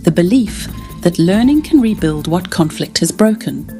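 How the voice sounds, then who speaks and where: A woman's voice: fairly low pitch, moderate pace, faint music behind. A woman reading polished, scripted documentary narration. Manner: even, polished voice-over reading.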